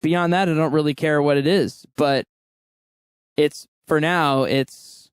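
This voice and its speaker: A man's voice: on the high side, fairly even intonation, slow pace, smooth, no room sound, studio quality. A man in his thirties, a musician guest talking candidly in a casual interview and laughing often. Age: 30s.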